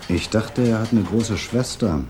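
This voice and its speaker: A man portrays a dutiful police detective in an eighties German radio play. His voice is very deep, and he speaks in a dry, understated, matter-of-fact way. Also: measured pace, noisy background, close to an everyday voice. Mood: polite, probing. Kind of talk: 1980s German radio drama acting.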